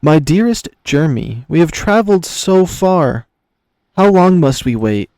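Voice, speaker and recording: A man's voice: fairly high pitch, lively intonation, unhurried, darkish tone, smooth, dry recording, voice pushed up. A man voicing a seductive, mocking lioness chieftain in a visual novel, with a teasing, courtly manner. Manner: teasing, coaxing, theatrically courtly. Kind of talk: visual novel character reading.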